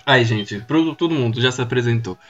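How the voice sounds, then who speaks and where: A man co-hosting a podcast, lively and bossy with his friends in casual chat. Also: mid-range voice, a little fast, highs cut off, loud music behind the voice. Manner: lively, bossy ringleader of the group. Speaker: podcast co-host.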